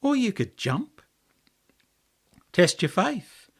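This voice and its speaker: A menacing Australian tempter from an audiobook tale, acted out by a male narrator. He taunts in a mocking, slangy way, with the voice leaping up high. His delivery swings widely as he needles his target. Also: sing-song, slow pace, husky, heavily acted. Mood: goading, taunting. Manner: mocking, goading, slangy, pitch leaping upward.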